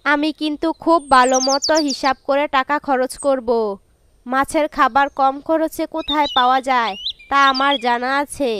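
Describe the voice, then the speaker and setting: A woman puts on a very high-pitched young cartoon wife bird. She sounds girlish, earnest and thrifty, like a character in a moral tale. The track is clearly noisy, with birds chirping behind. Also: fairly even intonation, moderate pace, lightly acted. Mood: determined. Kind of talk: children's cartoon moral story dialogue.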